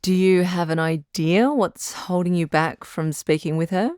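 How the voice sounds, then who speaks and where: An actress playing a calm, reassuring doctor who hosts a radio advice show, measured and frank in an audio drama. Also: female voice, lowish voice, smooth, dry recording, clean studio sound, lightly acted. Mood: gentle, encouraging. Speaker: radio call-in host.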